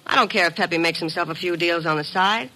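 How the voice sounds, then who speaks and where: A woman's voice: on the low side, a little fast, bright, forceful tone, poor audio. An actress playing a tough, cool female blackmailer with slangy, brassy, insistent talk in an old radio drama. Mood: hard, threatening. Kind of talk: 1950s radio drama acting. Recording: vintage radio broadcast.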